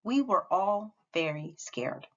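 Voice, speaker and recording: A woman's voice: medium pitch, animated, slow, a bit bright, dull audio. A woman reading a storybook, voicing a solemn, weary older man who remembers past struggles. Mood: somber.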